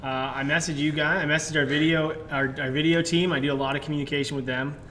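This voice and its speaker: A man co-hosting a live question-and-answer chat, explaining things in a quick, chatty way. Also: on the high side, little pitch movement, pushed and bright, slight background noise, rough recording. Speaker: content strategist and co-host.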